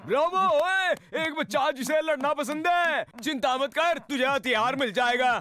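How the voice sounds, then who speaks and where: A hot-headed little cartoon man who loves giving orders. His voice sits extremely high for a man and comes across exaggerated and commanding. Music plays loudly behind him. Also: unhurried. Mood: bossy, fired up. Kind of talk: Hindi cartoon dub.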